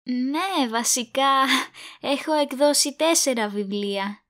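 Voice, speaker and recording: Actress playing a high-pitched, animated, flustered woman. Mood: gentle, evasive. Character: flustered woman writer caring for an amnesiac man, evasive, protective. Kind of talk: audio drama acting.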